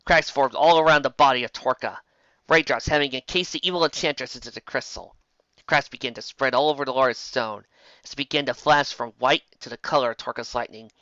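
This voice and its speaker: A man reading fantasy fiction aloud as an expressive amateur storyteller, now and then stumbling over a word. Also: medium pitch, dry recording, muffled recording. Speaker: story narrator.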